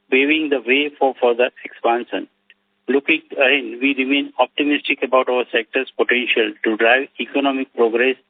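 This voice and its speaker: A man's voice: mid-range voice, no room sound. A man with an Indian accent formally reading a scripted business update on an earnings call, as a company executive. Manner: formal, reading from prepared remarks. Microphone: telephone conference call. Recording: narrowband, dry phone line.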